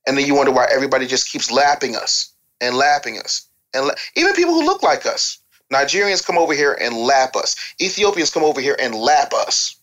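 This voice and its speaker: A man's voice: mid-range voice, fairly bright tone. A man with an American accent arguing his opinions assertively, repeating points for emphasis in casual speech. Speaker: podcast panelist. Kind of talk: opinionated discussion.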